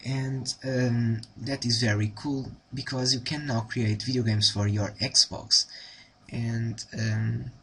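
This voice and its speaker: A man's voice: medium pitch, little pitch movement, takes it slowly, a bit bright, slight background noise. A young man from Portugal with a Portuguese accent, giving a casual tutorial with a hesitant delivery full of ums and restarts. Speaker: tutorial host.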